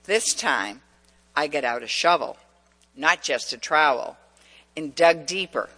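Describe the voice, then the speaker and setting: A woman's voice: low-pitched, lively intonation, unhurried, fairly bright tone. A woman with a central Massachusetts accent preaching a sermon, asking the congregation questions and telling personal stories. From New England. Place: church. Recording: long church reverb.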